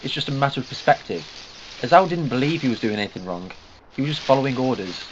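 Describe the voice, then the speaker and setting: A man's voice: mid-range voice, animated, fast talker, slight background noise, natural voice. A man playing a wry, eccentric time traveller who explains ancient threats. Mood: explaining. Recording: lo-fi fan audio drama.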